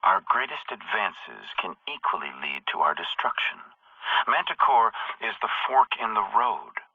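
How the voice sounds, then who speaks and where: Ruthless military chief, game audio log, lowish voice, grandiose and measured, dry tinny radio sound. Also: male voice, very animated, slightly rough, dry recording, exaggerated voice. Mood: calculating. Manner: measured, grandiose, coldly philosophical. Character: ruthless middle-aged male military company chief. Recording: tinny radio-filtered message.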